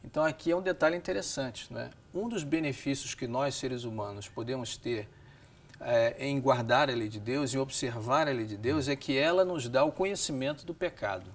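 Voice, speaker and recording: Man, Brazilian accent, religious programme host, mid-pitched voice, didactic, measured delivery. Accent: Brazilian Portuguese. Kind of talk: studio Bible discussion.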